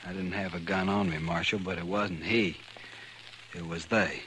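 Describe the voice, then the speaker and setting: Grave, menacing frontier loner, very low voice, deliberate delivery, faintly noisy 1950s radio western. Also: male voice, steady tone, fairly bright tone. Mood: guarded. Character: brooding, stubborn male avenger, quietly bitter and menacing. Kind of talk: 1950s old-time western radio drama acting.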